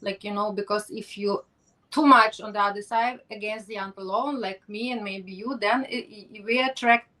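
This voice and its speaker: A woman's voice: medium pitch, steady tone, normal speed, sharp tone, rough recording. A middle-aged woman hosting an interview, speaking non-native English and searching for words with many restarts. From not from Norway. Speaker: podcast host. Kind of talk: interview conversation.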